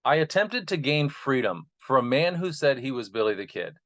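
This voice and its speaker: A man's voice: medium pitch, big pitch swings, moderate pace, fairly bright tone. A man speaking earnestly as host and narrator, presenting material to his listeners. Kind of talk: informal introduction, then reading a speech aloud.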